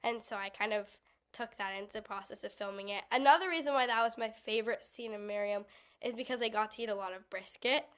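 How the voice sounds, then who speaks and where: Young woman, higher-pitched voice, chatty, casual interview, frequent "like". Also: fast. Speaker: actor being interviewed.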